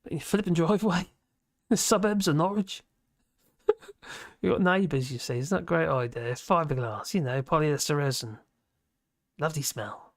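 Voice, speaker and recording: Male, 50s, livestream host; rambling, self-mocking, gravelly fry, close dry sound. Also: on the high side, moderate pace, pauses often, no echo. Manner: chatty, chuckling, self-mocking asides. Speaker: livestream host reading chat. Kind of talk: casual rambling chat. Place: home office at his desk.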